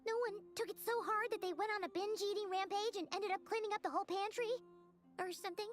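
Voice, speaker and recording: A woman's voice: very high-pitched, fairly even intonation, fast. An actress voicing a small, cheeky female fairy companion who talks about herself in the third person, in game dialogue. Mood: teasing. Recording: game audio with faint music behind.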